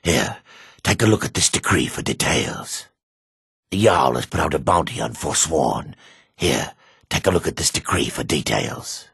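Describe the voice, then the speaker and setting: An actor playing a grim, weathered male dark elf warrior in a fantasy game, with a husky, measured, menacing delivery. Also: a bass voice, lively intonation, dry recording, clean studio sound, exaggerated voice. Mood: businesslike. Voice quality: gravelly, husky. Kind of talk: fantasy game character lines.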